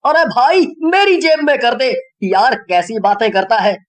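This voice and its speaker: A woman voicing a cheeky, excitable young man who loves parties, whiny and full of exclamations. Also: on the high side, measured pace, voice pushed up. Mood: whiny, annoyed.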